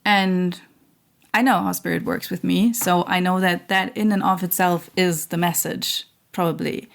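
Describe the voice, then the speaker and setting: A woman hosting her own spiritual channel, talking in a slow, searching way with many fillers and restarts while losing her voice. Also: fairly low pitch, steady tone, vocal fry. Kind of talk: reflective spiritual monologue.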